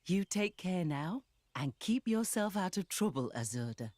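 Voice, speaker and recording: A gentle, close-sounding motherly guardian. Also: lowish voice, very animated, measured pace, no room sound. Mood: fond admonishing. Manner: gentle, reassuring. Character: kindly, motherly older guardian woman, protective and firm. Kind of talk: video game cutscene acting.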